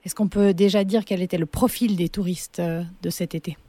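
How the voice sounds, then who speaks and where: A woman's voice: on the low side, fairly even intonation, a little fast, warm tone, dry recording. A woman working as a journalist, putting composed, probing questions in a news interview.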